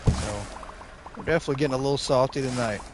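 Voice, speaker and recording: A man hosting a gaming channel, chatting casually over gameplay in a rambling, jokey way full of fillers, his voice going slightly hoarse. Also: mid-range voice, fast talker, music in the background. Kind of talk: casual let's-play commentary. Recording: noisy background under the voice.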